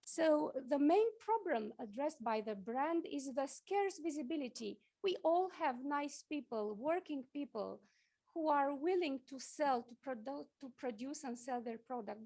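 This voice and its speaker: A woman from Romania, giving a conference talk with slides, halting often with fillers and restarts. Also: sits high, lively intonation, gravelly, warm tone. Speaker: conference speaker. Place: conference hall.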